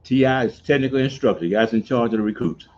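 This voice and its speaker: A man, a veteran guest speaking in a slow, halting, plain-spoken way. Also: medium pitch, darkish tone. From Montgomery, Alabama. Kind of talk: casual interview. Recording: dull lo-fi recording with faint noise.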